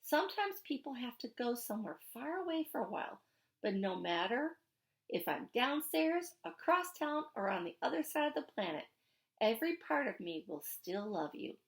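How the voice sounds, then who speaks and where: A woman voicing a gentle, affectionate father in a storybook, tender and softly teasing, with an American accent. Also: on the high side, big pitch swings, normal speed, gravelly. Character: gentle, affectionate, playful father of a young girl.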